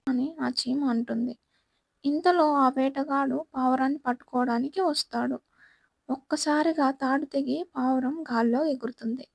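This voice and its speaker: High-pitched, creaky woman storyteller. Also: moderate pace, dry recording, poor audio. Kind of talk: scripted children's story narration.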